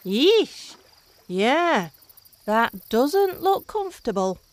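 An actress playing a brusque, self-interested heiress in a fantasy audio drama, offhand and dry. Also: female voice, high voice, big pitch swings, extremely slow, full character voice.

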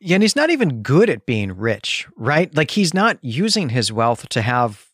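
A man's voice: mid-range voice, very animated, moderate pace, a bit bright, husky, no echo, studio quality. A man hosting a podcast, talking through a literary analysis in an emphatic, explanatory way. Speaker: podcast host. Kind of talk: literary discussion.

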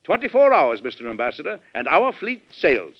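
An actor playing a firm, shrewd American president in an old radio drama, talking bluntly and assertively with an American accent. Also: male voice, a little high, huge pitch swings, moderate pace, full character voice. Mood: firm, commanding. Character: firm, plain-spoken American male president, shrewd and commanding. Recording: dull, band-limited vintage radio recording.

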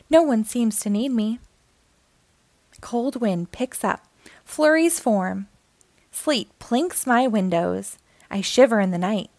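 A woman reads a children's story in a high, expressive voice. Her storytelling is dramatic and animated, with an unhurried pace suited to young listeners. The recording is dry and close. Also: no room sound. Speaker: storybook reader, host. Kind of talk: expressive children's read-aloud narration.

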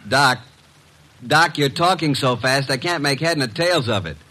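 An actor playing a brash, slangy detective's assistant and narrator, breezy and cheeky, in old radio drama style. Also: male voice, medium pitch, expressive, measured pace, bright, forceful tone. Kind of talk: 1940s-50s radio drama acting. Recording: noisy old radio broadcast.

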